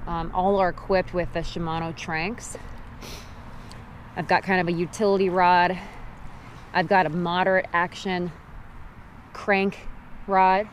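A woman's voice: on the low side, flat delivery, measured pace, pauses often, slight background noise. Woman vlogging outdoors on a riverbank. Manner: friendly, practical, emphatic on safety rules. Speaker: fishing vlogger. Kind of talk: casual how-to talk to camera. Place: outdoors on a river gravel bank. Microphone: action camera held at arm's length.

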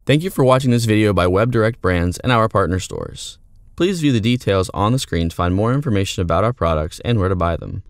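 A man with a fairly low voice reads scripted promotional narration. He moves quickly through the copy as an announcer, and the sound is dry with no room on it. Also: expressive, no room sound.

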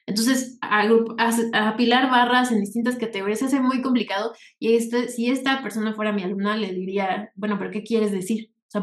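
A woman with a Mexican accent leads a workshop in an informal, conversational way. Her voice sits at an average pitch for a woman, and she explains things while often tagging on rhetorical questions. The recording has a clearly echoey room sound. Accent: Mexican Spanish. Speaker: workshop instructor. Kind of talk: informal spoken lecture over slides.

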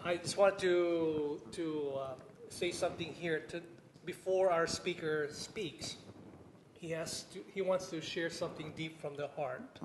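High-voiced man introducing a church speaker. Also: fairly even intonation, unhurried. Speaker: church host. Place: church hall. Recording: echoey hall, light noise.

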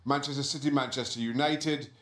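Football pundit, man, measured, restarts words, roomy studio. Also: medium pitch, steady tone, fairly bright tone. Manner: measured, repeats words as he thinks. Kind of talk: sports panel discussion.

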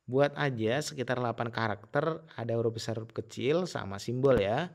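A man's voice: mid-range voice, a little fast, vocal fry. A man presenting a casual step-by-step tutorial in an instructional manner.

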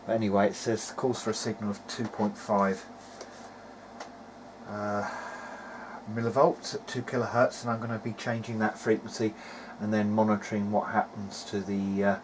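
Low-voiced man thinking aloud, noisy recording. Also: flat delivery, moderate pace. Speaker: hobbyist presenter of an electronics repair video diary. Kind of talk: casual technical narration while demonstrating.

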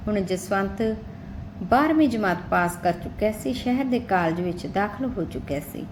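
A woman's voice: on the high side, darkish tone. A woman narrating a scripted story as an audiobook-style storyteller. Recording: clearly noisy recording with background ambience.

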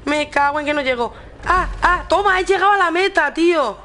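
A woman's voice: very high voice, fairly quick, pushed and bright. A young woman with a Spain Spanish accent chatting casually and informally, with swearing, as she streams a video game. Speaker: gaming streamer. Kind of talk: casual chat while gaming. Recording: game music behind. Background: video game music.

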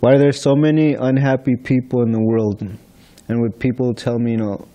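A young man from the Philippines leads an informal class in a large temple hall, speaking into a stand microphone. He talks in a chatty, storytelling way with a mellow, relaxed tone. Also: medium pitch, a little fast, dull audio. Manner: chatty, storytelling with light laughs. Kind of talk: informal scripture class talk. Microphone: stand mic in front of seated speaker.

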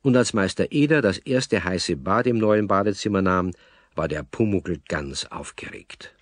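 A man narrates a children's audio tale in a fairly deep voice with a southern German accent. The sound is close and dry, the telling unhurried. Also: dry recording.

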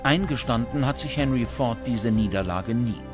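Male narrator, scripted documentary delivery, medium pitch, clear music bed. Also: normal speed. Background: background music.

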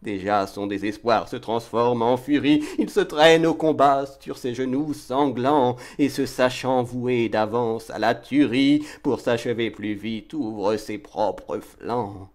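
Male poetry reader, mid-range pitch, very measured pace, dramatic and impassioned declamation. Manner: declamatory, impassioned, swelling from hushed to forceful. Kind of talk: dramatic French poetry recitation.

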